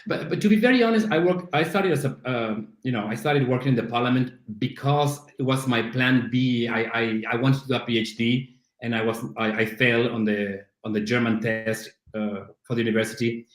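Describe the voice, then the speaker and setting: A man's voice: mid-range voice, a little fast, few pauses. A middle-aged man from Argentina with an Argentine Spanish accent, a native Spanish speaker chatting as a podcast guest, self-deprecating and full of restarts. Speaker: guest, CrossFit coach. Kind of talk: casual interview chat. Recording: roomy echo.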